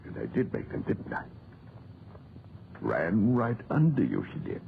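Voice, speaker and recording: An actor playing a stubborn amateur antiquarian who mutters theatrically to himself in a 1940s radio drama. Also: male voice, fairly low pitch, huge pitch swings, unhurried, creaky, full character voice. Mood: brooding, defiant. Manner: theatrical muttering with tag questions and repeats. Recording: muffled, very noisy old 1940s radio recording.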